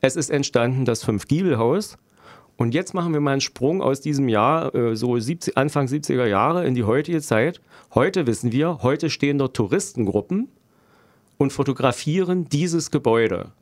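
Middle-aged man, medium pitch, deliberate explanatory delivery, local politician in an interview, dry irony, no room echo. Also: lively intonation. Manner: deliberate, halting, dryly ironic. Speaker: local politician interviewed, road planner by profession.

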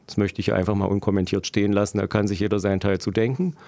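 A middle-aged man from Germany answering interview questions as a local politician, explaining deliberately and haltingly with dry irony. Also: on the low side, flat delivery, vocal fry, warm tone, no echo. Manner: deliberate, halting, dryly ironic. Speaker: local politician interviewed, road planner by profession.

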